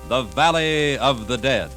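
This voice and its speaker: A man's voice: mid-range voice, unhurried. Polished 1950s male radio announcer over music. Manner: polished, formal.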